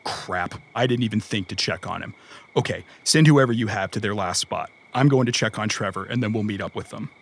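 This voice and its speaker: A man playing a gruff but loyal cyberpunk mercenary in an audio drama, protective and dry, in an understated conversational delivery. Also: fairly low pitch, brisk. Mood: worried, urgent.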